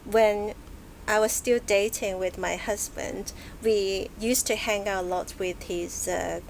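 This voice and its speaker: A woman's voice: medium pitch, steady tone, gravelly, slight background noise, rough recording. Thoughtful woman guest. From Hong Kong. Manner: thoughtful, halting, many fillers and restarts. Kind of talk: casual interview chat. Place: book and music shop, live event.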